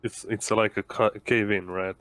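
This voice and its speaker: A man's voice: fairly low pitch, steady tone, moderate pace, fairly bright tone, a little hiss or hum. A man talking casually as a player in a tabletop roleplaying game, in short informal remarks.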